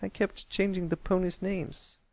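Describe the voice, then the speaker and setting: A man's voice: high voice, little pitch movement, measured pace, creaky, a little mellow, voice pushed up. A man voicing a bashful, meek young colt in a storybook reading, stammering and mumbling modestly. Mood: hesitant.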